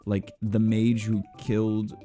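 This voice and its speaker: A young man who creates videos, chatting casually in an informal, excitable and expressive way. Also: on the low side, slow pace, faint music behind. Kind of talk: casual chatty vlog talk.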